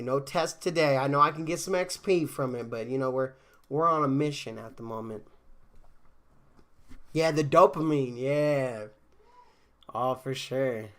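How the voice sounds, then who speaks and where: A man's voice: medium pitch, fairly bright tone. A man casually chatting with viewers on a game stream, rambling with fillers and restarts, a bit congested from a cold. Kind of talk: casual live-stream chat.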